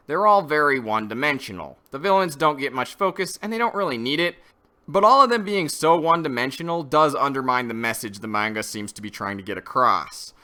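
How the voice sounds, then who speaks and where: A man's voice: medium pitch, pushed and bright. A man reading a scripted review in an emphatic, opinionated way, as the host. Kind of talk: scripted comic review narration.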